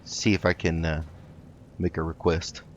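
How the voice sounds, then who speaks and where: A man improvising in character while playing a video game, speaking politely and haltingly. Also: deep voice, flat delivery. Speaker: let's play host. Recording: dull lo-fi mic with noticeable noise.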